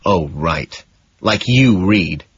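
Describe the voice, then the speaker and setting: A man's voice: low voice, lively intonation, drawn-out words, husky, no room sound, dull audio, exaggerated voice. A man reading an audiobook as a vain, contemptuous young wealthy businessman, curt and condescending. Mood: smirking contempt. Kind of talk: dramatised audiobook narration.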